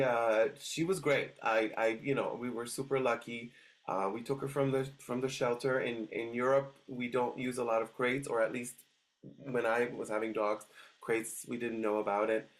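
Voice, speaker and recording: A middle-aged man talks through his story in a casual interview. He hesitates often and restarts his sentences, filling gaps with "um" and "uh.". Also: medium pitch. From Switzerland. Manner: hesitant, many restarts and fillers. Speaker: dog trainer guest.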